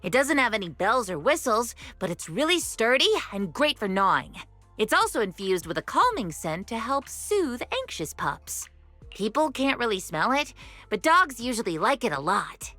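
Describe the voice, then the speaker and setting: A woman's voice: on the high side, big pitch swings, moderate pace, bright, forceful tone, no echo, soft background music, full character voice. A woman playing an earnest, slightly flustered young pet shop clerk in an anime-style video game. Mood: helpful.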